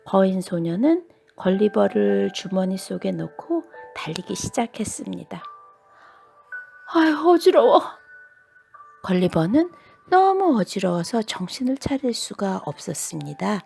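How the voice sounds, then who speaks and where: A woman storyteller narrating children's bedtime tales dramatically, often dropping to hushed endings. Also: mid-range voice, very animated, moderate pace, gravelly, faint music behind.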